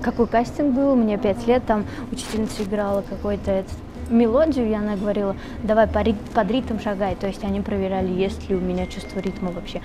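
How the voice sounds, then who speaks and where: A young woman singer answering interview questions in a relaxed, chatty way, with many fillers. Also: mid-range voice, quick pace, music in the background. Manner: relaxed, chatty, switches between Russian and Tatar, many fillers. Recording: noisy background with traffic.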